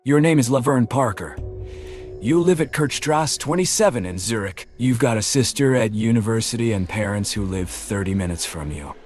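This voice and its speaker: A man voicing Leo, a thickset hired enforcer who keeps a jovial tone while making quiet threats and calling people "mate", in an audiobook. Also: medium pitch, lively intonation, moderate pace, close to an everyday voice. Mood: menacing. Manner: cheery tone over quiet threats, says "mate".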